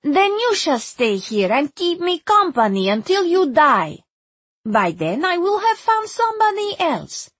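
Lady narrator doing a tired stern woman for an audiobook, grave and formal, husky, swoopy pitch, dry room. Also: big pitch swings, unhurried, no room sound, heavily acted. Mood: demanding.

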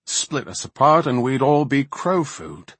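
A man's voice: mid-range voice, sing-song, unhurried, breathy edge, no echo, voice pushed up, full character voice. A man voicing a proud, hot-tempered old warrior king in a fantasy audiobook, boastful and declamatory. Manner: forceful, declamatory. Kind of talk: fantasy audiobook character acting.

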